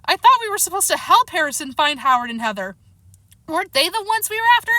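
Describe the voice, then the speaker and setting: A woman's voice: very high voice, very animated, quick pace, pushed and bright, no echo, pitched above the performer's own voice, heavily acted. A woman voicing a timid, well-meaning male dog in a storybook, hesitant and protesting. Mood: bewildered.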